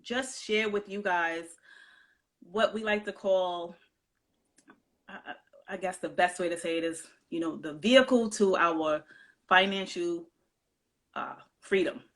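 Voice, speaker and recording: A woman hosts a live-stream chat in a low, relaxed voice, greeting viewers and affirming her guest. She talks loosely, with plenty of fillers. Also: slow, pauses often. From New York. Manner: warm, affirming, many fillers. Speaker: host.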